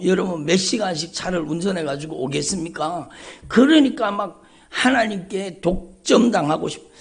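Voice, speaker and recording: A man's voice: sits high, gravelly. A male pastor preaching an emphatic, repetitive sermon at a dawn church service, calling out often to the congregation. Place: church sanctuary.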